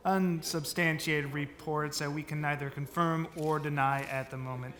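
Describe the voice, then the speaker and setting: Stiff evasive govt secretary at a press briefing, halting, sharp bright voice, echoey room. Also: male voice, fairly high pitch, steady tone. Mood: firm, defensive. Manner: formal, guarded, halting. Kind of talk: radio drama press briefing.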